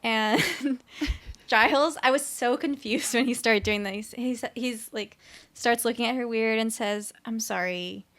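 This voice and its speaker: A woman co-hosting a podcast, recapping a TV episode in casual, animated, conversational speech. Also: fairly high pitch, unhurried. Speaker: podcast co-host.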